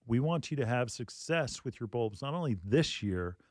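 A man's voice: mid-range voice, expressive, moderate pace, a little mellow, dry recording. Chatty guy hosting a garden radio show, dry close mic, jokey and easygoing. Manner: chatty, jokey, quotes callers in mock voices. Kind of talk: casual radio chat.

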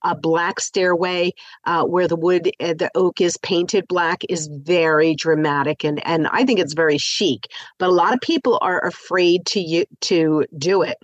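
A woman's voice: fairly low pitch, steady tone, a little slow, dry recording, dull audio. A woman hosting a live radio show, sounding stuffy from the flu, talking in an upbeat, chatty way. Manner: bubbly, upbeat, chatty with fillers. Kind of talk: chatty live radio talk on home improvement. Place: radio studio.

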